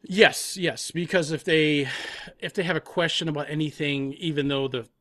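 A man's voice: on the high side, steady tone, normal speed, a bit bright, dry recording. A man chatting casually as an interview guest, telling stories with repeated words and asides. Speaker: guest, composer and audio drama creator. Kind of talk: casual chat.

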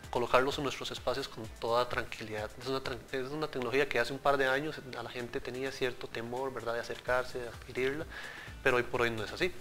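A man explains things earnestly, in a bright, clear voice at a middling pitch. He speaks into a lapel mic in a TV studio, with faint music underneath. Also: steady tone, quick pace. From Central America. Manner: explanatory, earnest, addresses host directly. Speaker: product engineer guest. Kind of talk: TV studio interview.

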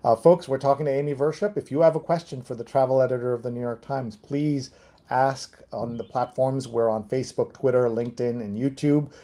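A man's voice: medium pitch, steady tone, a little fast, dark tone. A middle-aged man hosting a live online interview show in a conversational style, speaking on a video call. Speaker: host, interviewer. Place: room with bookshelves.

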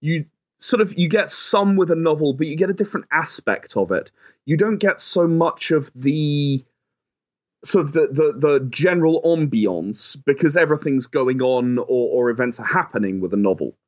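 A man giving conversational, explanatory interview answers, often softening his points with "sort of". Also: a little high, lively intonation, moderate pace, highs cut off. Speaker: game designer and writer, interview guest.

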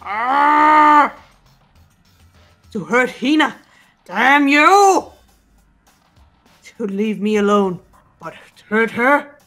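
Woman as a forceful, solemn female fighter. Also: a little high, animated, very slow, pauses often, full character voice. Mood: outraged. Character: huge, fierce, protective teenage female fighter. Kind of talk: video game character voice-over. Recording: music bed behind voice.

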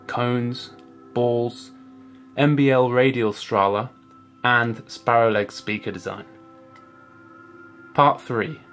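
Male explainer presenter, thirties, mid-to-low pitch, scripted delivery to camera, faint music bed with guitar. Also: a bit bright. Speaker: master's student presenting a project. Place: small indoor room at home.

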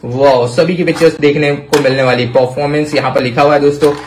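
A young adult man with an Indian accent presenting toys to children in an excited, exclamatory, emphatic way. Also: fairly high pitch, fast, slight background noise. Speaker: toy review channel host. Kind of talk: excited toy showcase commentary for children.

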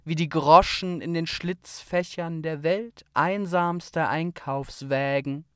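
A poet reading their own literary work in a measured, deliberate manner with pauses between phrases. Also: medium pitch, steady tone, smooth, no echo, clean studio sound. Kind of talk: literary poetry reading, spoken word.

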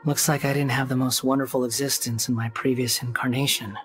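A man's voice: mid-range voice, flat delivery, fairly bright tone, faint music behind, lightly acted. Man playing a composed, guarded young wanderer. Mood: resolute. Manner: measured, formal, reserved. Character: young male wanderer with lost past, polite, composed, guarded. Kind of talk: video game voice acting.

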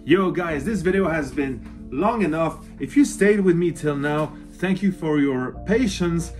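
An enthusiastic man in his thirties with a fairly high voice, chatting casually to the camera in a room at home. He talks informally, as a collector reviewing his things, with guitar music playing behind him. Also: unhurried, darkish tone. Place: small cluttered room at home, indoors.

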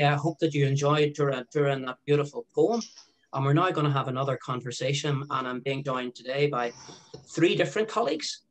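A man in his sixties hosts a panel discussion over a video call. He speaks into a headset from a home study in an ordinary mid-pitched voice. His introductions are steady and measured. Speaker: panel chair. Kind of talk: moderating an online panel.

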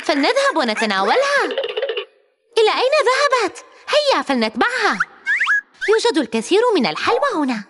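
Very high-pitched woman narrating for kids. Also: noisy background. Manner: exclamatory, addressing a cartoon character directly. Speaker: narrator of a children's learning cartoon. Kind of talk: animated children's educational narration.

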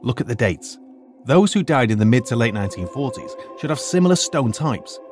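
An actor playing a retired archaeologist turned high school teacher, measured and lecturing in an audio drama. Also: male voice, big pitch swings, a little mellow, soft background music, natural voice.

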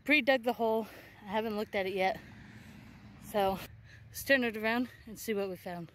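A woman in her thirties, an excited and chatty metal detecting vlogger, talking casually to camera outdoors in an open farm field. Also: mid-range voice, normal speed, a little hiss or hum. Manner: excited, chatty, self-correcting. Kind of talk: casual outdoor vlog narration. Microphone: handheld or body camera close up.

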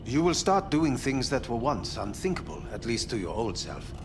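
An actor playing a formal, coolly clinical corporate scientist in a video game. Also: male voice, medium pitch, very animated. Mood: clinical, probing. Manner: formal, measured, probing. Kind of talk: video game dialogue acting. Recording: game ambience and traffic noise behind.

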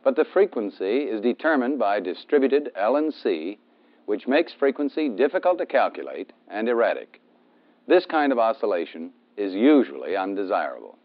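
American man narrating, lively pitch, vintage soundtrack. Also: normal speed. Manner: methodical, explanatory, emphatic on key terms. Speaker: instructor narrating a military training film. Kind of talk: instructional scripted narration. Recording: dull, band-limited 1970s film soundtrack.

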